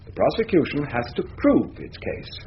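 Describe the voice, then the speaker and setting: An actor playing a deferential, ambitious middle-aged barrister with an upper-class English accent in a radio drama. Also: male voice, fairly high pitch, huge pitch swings, normal speed. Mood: earnest, formal. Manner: hesitant, stammering, eager to please. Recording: dull radio recording, faint noise.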